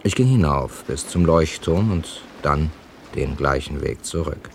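A man playing a reflective, earnest witness and narrator in a German radio crime play, with deliberate, literary phrasing. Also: very deep voice, lively intonation, vocal fry, exaggerated voice. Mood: reflective, uneasy. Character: reflective male hotel guest, narrator and witness. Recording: slightly hissy old radio recording.

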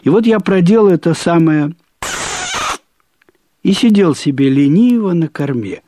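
A man narrating a first-person literary short story in a deliberate, wryly humorous manner, with measured pauses and repetitions. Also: a little high, animated, mellow, a little hiss or hum.

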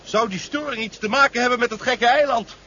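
A man's voice: very high voice, a little fast, bright, forceful tone. An actor playing a sardonic, jittery male co-pilot who grumbles and wisecracks in a 1970s Dutch radio drama. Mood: uneasy, curious. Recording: muffled, noisy 1970s radio recording.

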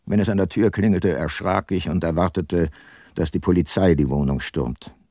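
Radio play lead: grave older detective, lowish pitch, close dry sound, reflective and deliberate. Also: male voice, warm tone, no room sound. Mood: sombre, reflective. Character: brooding older male detective, grave and resolute. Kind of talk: radio drama dialogue and first-person narration.

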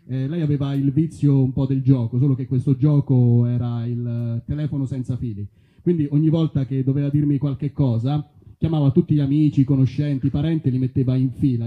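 A man doing a live stand-up monologue at a middling pitch. He tells stories with a deadpan, halting delivery and repeats phrases. The recording is narrow and muffled, almost like a phone line. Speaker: stand-up comedian. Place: festival stage outdoors among olive trees.

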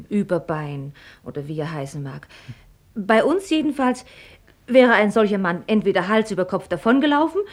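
Radio drama: proud, pert rich daughter, young, articulate and mocking, highly varied melody. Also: female voice, darkish tone. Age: young adult. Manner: articulate, mocking, ornate phrasing. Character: pert, sharp-tongued young heiress, witty and proud. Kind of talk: German radio play acting.

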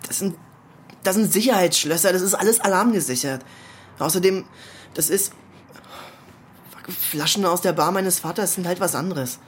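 An actress playing a defiant, sharp-tongued teenage girl in a radio drama, cheeky, slangy and combative. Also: lowish voice, moderate pace, pauses often, a little hiss or hum, lightly acted. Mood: cornered. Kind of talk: naturalistic German radio drama acting.

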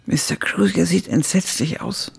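Very creaky elderly female narrator. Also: fairly low pitch, moderate pace, a little mellow, soft background music, exaggerated voice. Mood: unsparing. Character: old woman recalling her youth, observant and unsparing. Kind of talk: first-person radio play narration.

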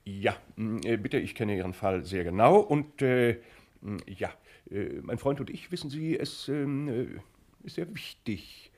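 Radio drama, 1960s: learned psychiatrist, polite and pensive, husky with very varied intonation. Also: male voice, mid-range voice, animated, unhurried, darkish tone. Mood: hesitant, probing. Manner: pensive, stammering, fussily polite. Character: earnest, hesitant, learned male psychiatry professor. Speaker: sanatorium director. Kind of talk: 1960s German radio play acting.

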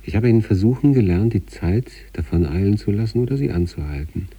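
A man acting an obsessive sanatorium patient in a literary radio monologue, reading out letters to his wife with measured, introspective intensity and a husky, gravelly voice. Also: lively intonation, no echo, recorded from a distance. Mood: absorbed, determined. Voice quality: husky, gravelly, dark low voice.

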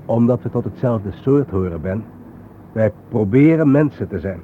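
A man's voice: lowish voice, animated, natural voice. Man acting grave thoughtful reporter guy in an old radio play, phone-like sound, bit of noise. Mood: solemn. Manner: grave, philosophical, deliberate phrasing. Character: solemn, reflective male journalist haunted by war dead.

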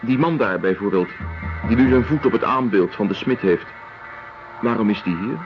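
Man acting a deep-voiced, thoughtful traveling doctor. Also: expressive, lightly acted. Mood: questioning, indignant. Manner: measured, philosophical, deliberate phrasing. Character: reflective, compassionate, morally indignant male doctor traveling through Russia. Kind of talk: Dutch radio drama acting. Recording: dull lo-fi radio recording, music behind.

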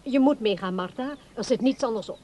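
An actress in a radio play, playing a calm but firm nursing sister. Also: female voice, fairly high pitch, a little fast, highs cut off, natural voice. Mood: firm, reassuring. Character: firm, calm institutional sister fetching a charge. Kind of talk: Dutch radio play acting. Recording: noisy old radio drama recording.

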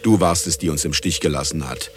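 A man's voice: extremely low pitch, fairly quick, a little hiss or hum. An actor playing a middle-aged male scientist in a German radio play, dry, pompous and resigned. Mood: accusing. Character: world-weary, self-important male scientist, evasive and dry.

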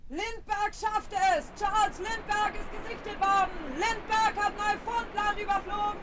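A performer playing a newspaper seller crying out headlines in a drawn-out street call in a radio play. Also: very high voice, little pitch movement, over a noisy crowd. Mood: excited, crying out. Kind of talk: 1970s German children's radio play.

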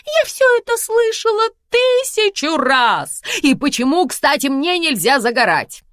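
A woman voicing a capricious, theatrical mother in her seventies, whiny and melodramatic, with a lively, swooping pitch. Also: measured pace, no room sound, clean studio sound, heavily acted. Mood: exasperated. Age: 70s.

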